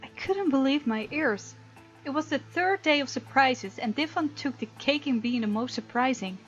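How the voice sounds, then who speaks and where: High-voiced woman reading a game aloud. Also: normal speed, lo-fi sound. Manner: expressive, informal asides. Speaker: gamer, let's-play host. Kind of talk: reading visual novel narration aloud. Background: faint game music.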